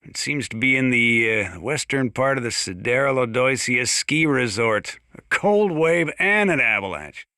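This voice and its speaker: An actor playing a folksy, rambling old American small-town sheriff with dry humor, giving emergency radio announcements in a video game. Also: male voice, mid-range voice, lively intonation, moderate pace, bright, forceful tone, clean tone, no echo. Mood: wry, warning. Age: older.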